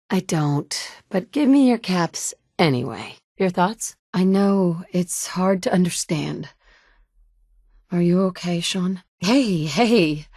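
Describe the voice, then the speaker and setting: A tough female survivor of the wasteland who talks in a blunt, matter-of-fact way. Her voice sits a little low for a woman. It is recorded close to the mic in a very dry studio booth. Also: a little mellow, dry recording. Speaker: player character. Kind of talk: video game voice lines.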